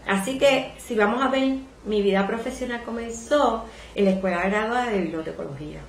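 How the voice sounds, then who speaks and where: A Puerto Rican woman in her sixties recalls her career as a librarian in a polite, measured, reflective way during an oral history interview. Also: a little high, slight background noise. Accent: Puerto Rican Spanish. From Puerto Rico. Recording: echoey room.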